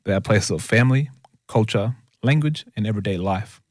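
A man, a radio reporter reading scripted narration in a measured way. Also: lowish voice, no echo, studio quality.

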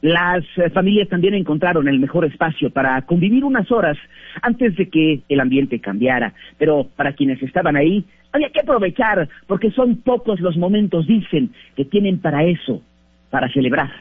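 Male field reporter, measured news narration, dry and close, narrow-band sound. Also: on the high side, expressive, husky, no echo.

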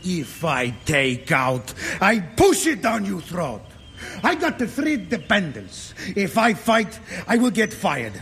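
A hot-headed Sicilian truck driver. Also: male voice, high-pitched, huge pitch swings, takes it slowly, creaky, in a large hall, soft background music, exaggerated voice. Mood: angry. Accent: Italian (Sicilian). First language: Italian. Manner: broken English, shouting then sobbing. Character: proud, hot-tempered male truck driver. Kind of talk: 1950s film drama acting.

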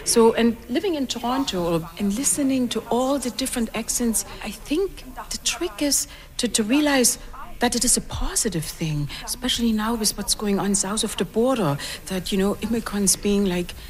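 A woman's voice: on the high side, expressive, normal speed. A woman from Germany speaking earnestly about languages and accents. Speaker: event attendee. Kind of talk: vox pop interview.

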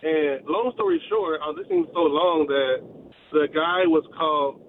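A man calls in to a radio show and tells his story hesitantly. His voice is a bit high and comes over a tinny phone line with faint noise behind it. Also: normal speed. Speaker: caller.

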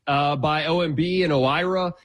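A man from Texas, a guest economist explaining energy prices in a measured way on a radio interview, often restarting his sentences. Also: fairly high pitch, fairly even intonation, fairly bright tone. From Houston, Texas.

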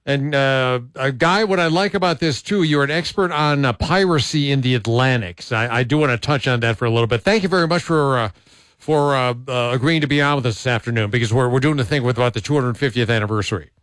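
A man's voice: medium pitch, fast talker, fairly bright tone, clear, resonant tone, no echo. A man hosting a talk radio show, chatting loosely with many fillers and blunt opinions. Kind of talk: casual talk radio chat.

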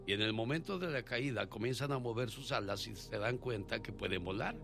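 A man's voice: mid-range voice, brisk, gravelly, fairly bright tone, faint music behind. A man hosting a radio call-in show, chatting and telling stories to his callers. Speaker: radio host. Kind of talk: radio call-in chat with storytelling.

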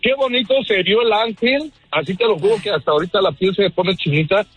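A man's voice: sits high, quick pace, fairly bright tone, breathy edge, dry recording, soft background music. A man from Mexico with a Mexican accent calling in to a radio show, speaking earnestly about his pride in being Mexican. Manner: earnest, heartfelt. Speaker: caller. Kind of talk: phone-in call.

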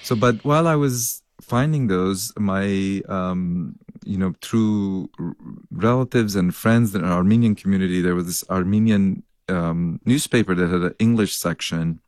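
A middle-aged scientist from Lebanon talks through his past in a very deep voice. He tells his story earnestly, chuckling between thoughts. The sound is close and dry, with no room in it. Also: measured pace, a little mellow, dry recording. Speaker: scientist, professor. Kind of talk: reflective interview answers.

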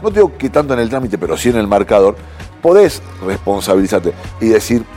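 A man's voice: lowish voice, faint music behind. A man, arguing football as a pundit on a studio sports show. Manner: animated, quick, voseo.

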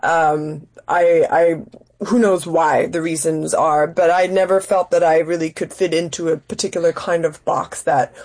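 A woman's voice: low-pitched, flat delivery, measured pace, a little mellow. A woman from Denmark being interviewed, speaking reflectively and searching for her words in a conversational way. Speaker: interview guest, actress and writer.

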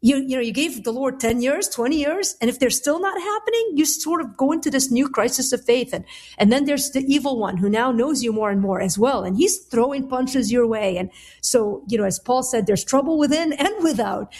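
A woman's voice: sits high, animated, fairly quick, darkish tone. A middle-aged woman from Lebanon answering conversationally as an interview guest, explaining things candidly. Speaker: interview guest, author and Bible teacher.

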